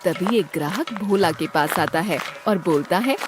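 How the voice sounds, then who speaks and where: A woman's voice: on the low side, lively intonation, normal speed, over music. A woman narrator reading a scripted children's story.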